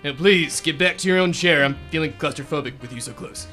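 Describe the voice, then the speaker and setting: A man's voice: a little high, a little fast, sharp tone, faint music behind. A man in a student radio drama playing a prim, formal detective who narrates and keeps sounding exasperated.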